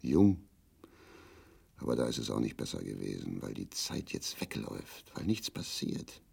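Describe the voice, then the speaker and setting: An actor playing a bitter, drunk, lonely old East German husband, gravelly and grumbling, in a grotesque radio drama. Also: male voice, very deep voice, big pitch swings, moderate pace, darkish tone, heavily acted. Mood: melancholy, self-pitying. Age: older. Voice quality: gravelly, husky. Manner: grumbling, declamatory, self-pitying.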